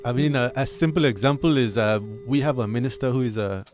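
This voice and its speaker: A man giving unscripted opinions as a discussion guest, earnest and measured with halting phrasing. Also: medium pitch, noisy background.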